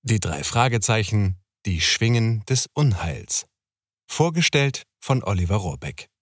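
A male announcer introduces a programme from a script. His voice sits fairly low. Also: expressive, a little slow, dry recording, clean studio sound.

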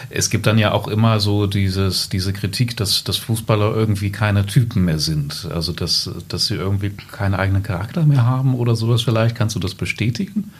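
A man co-hosting a podcast in casual chat, relaxed and using many fillers. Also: on the low side, a little fast, few pauses. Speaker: podcast co-host.

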